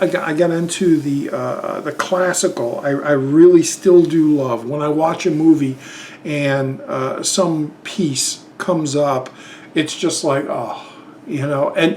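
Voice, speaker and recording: A middle-aged man chats loosely to camera, stopping and restarting his sentences with plenty of ums. His voice sits fairly high for a man. A faint hum runs underneath. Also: darkish tone. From Chicago, Illinois. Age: 50s. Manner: rambling, hesitant, many fillers and restarts. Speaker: YouTube channel host. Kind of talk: casual tag video monologue.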